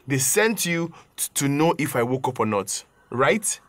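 A man's voice: mid-range voice, sing-song, a little slow. A man playing a bitter, suspicious young hospital patient in an audio drama, with a Nigerian accent and scornful questioning. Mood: suspicious, sarcastic.

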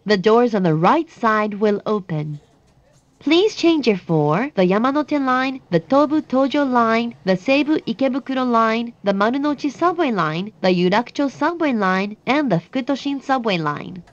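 A woman giving clear recorded English announcements for a train. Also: fairly high pitch, animated, takes it slowly, dry recording. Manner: clear, evenly paced announcer delivery. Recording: dull dry sound over train speakers.

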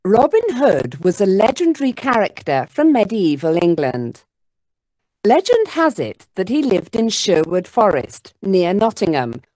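Female narrator for an educational listening track; animated intonation, dry sound. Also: medium pitch, no room sound, rough recording. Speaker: narrator of a textbook listening exercise. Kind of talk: scripted narration.

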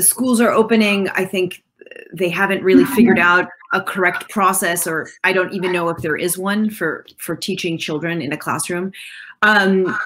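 A woman in her thirties from Switzerland, a guest on a video-call interview, answering in a thoughtful, measured way. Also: fairly low pitch, sharp tone, a little hiss or hum, rough recording. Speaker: guest, digital art consultant. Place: home office with bookshelves.

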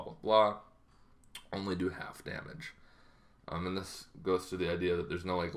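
A man in his thirties giving a casual, rambling explainer to camera, halting as he goes, in a small bedroom. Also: low voice, creaky, fairly bright tone. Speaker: host of a tabletop roleplaying channel.

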